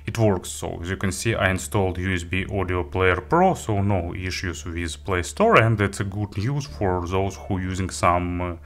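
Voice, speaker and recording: A man presenting a product review, walking through features item by item in halting, non-native phrasing. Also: sits low. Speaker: audio gear reviewer.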